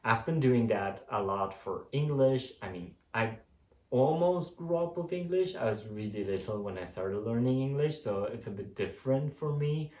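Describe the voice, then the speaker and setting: A man's voice: medium pitch, animated, moderate pace, darkish tone. A man whose first language is Polish, chatting casually to camera as an expat vlogger with many restarts and fillers. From Poland. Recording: roomy sound from a distance.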